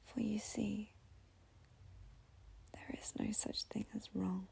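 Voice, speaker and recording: A woman leads a guided meditation in a soft, slow whisper. Her voice is very husky and gravelly, with a mellow, dark tone, and she takes her time over each phrase. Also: medium pitch, level tone.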